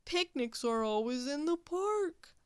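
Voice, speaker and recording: A woman's voice: high voice, lively intonation, takes it slowly, no echo, pitched above the performer's own voice. A woman voicing a gloomy walrus who worries and moans about everything. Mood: worried. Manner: moaning, fretful.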